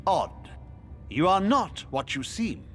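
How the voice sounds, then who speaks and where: A man acting a solemn, suspicious alien guardian in a video game, speaking in a formal, deliberate way. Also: sits high, very animated, a bit bright, soft background music, exaggerated voice.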